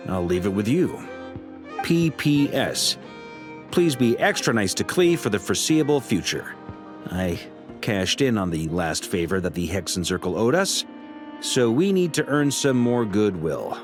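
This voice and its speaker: A man's voice: on the low side, big pitch swings, a little slow, music in the background. An actor voicing a roguish, easygoing knight commander in a video game, reading out his letter in a wry, breezy, mock-boastful way. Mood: breezy, fond.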